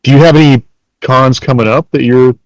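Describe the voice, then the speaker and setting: A man's voice: medium pitch, fairly even intonation, brisk, no room sound, rough recording. A man chatting casually as a podcast host.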